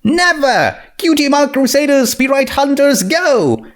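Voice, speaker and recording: A man voicing an excitable little filly pony, an eager young adventurer with a squeaky, exuberant manner, in a story reading. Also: unhurried, exaggerated voice. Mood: excited, boastful.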